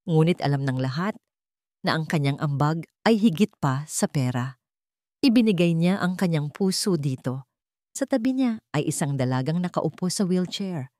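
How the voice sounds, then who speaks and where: Low-voiced woman storyteller, very expressive. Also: no echo, studio quality. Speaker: storyteller and channel narrator. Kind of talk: scripted dramatic story narration.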